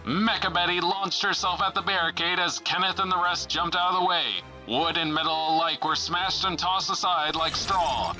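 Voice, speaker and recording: Bright, theatrical radio-serial announcer played by a man. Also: high-pitched, fairly even intonation, moderate pace, few pauses, faint music behind, rough recording. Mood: rousing. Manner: theatrical, suspenseful. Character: dramatic male announcer of a pulp adventure serial. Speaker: narrator of a serial. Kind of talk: old-time radio serial narration.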